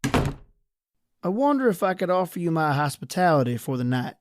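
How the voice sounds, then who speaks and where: A courteous country doctor in an old-time radio play. His voice is fairly high for a man, formal and hospitable, and recorded close and dry. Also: very animated, normal speed, dry recording. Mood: polite, hospitable. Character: courteous country doctor receiving stranded night visitors.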